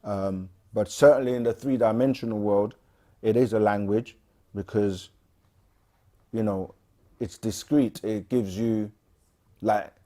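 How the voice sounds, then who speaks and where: A man co-hosting a casual livestream, reading viewer questions aloud and answering them with frequent pauses and fillers. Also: lowish voice. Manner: relaxed, frequent "um" and restarts, reads questions aloud.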